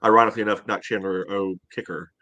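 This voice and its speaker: A man's voice: fairly low pitch, steady tone, fast talker, sharp tone. A man on a soccer podcast giving casual match analysis in a meandering way, peppered with "you know".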